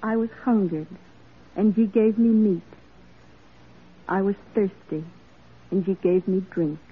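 An actress playing a solemn woman reading scripture slowly in a husky voice, in 1940s radio style. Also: medium pitch. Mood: solemn reverence. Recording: phone-like vintage radio recording.

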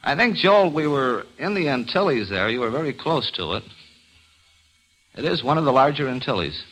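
A man's voice: medium pitch, very animated, moderate pace, fairly bright tone, poor audio. A man presenting a scripted travel quiz as a guest quizmaster on an old radio broadcast.

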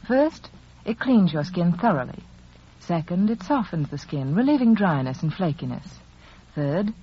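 A woman's voice: mid-range voice, slightly rough. A woman with an American accent reading a scripted 1940s radio beauty advertisement as an expert. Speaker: beauty authority giving sponsor message. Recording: muffled, faintly noisy 1940s radio recording.

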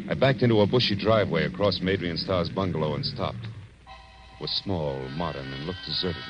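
Man playing a deep-voiced hardboiled American private eye. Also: lightly acted. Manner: clipped, slangy, wisecracking. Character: tough wry male private detective narrating his case. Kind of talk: 1940s radio acting, hardboiled first-person narration. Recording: dull, noisy old radio recording.

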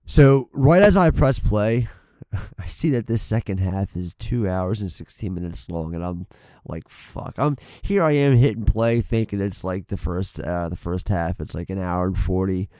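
Podcast co-host, man, low voice, stuffy nose, loose and rambly, dry close sound. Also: expressive, normal speed, mellow, dry recording. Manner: rambling, swears casually, many fillers and restarts. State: congested from a head cold. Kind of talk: casual film podcast chat. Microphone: desk mic on an arm.

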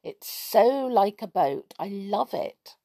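A woman's voice: medium pitch, expressive, takes it slowly, mellow, dry recording, studio quality, pitched above the performer's own voice. Woman doing a delighted gushing young girl. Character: cheerful young girl enjoying a treehouse.

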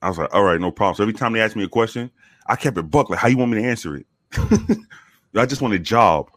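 A man from the Bronx with a very deep voice, telling profane, animated stories with many restarts in a casual interview. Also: quick pace, no echo. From the Bronx, New York. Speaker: guest, former police officer.

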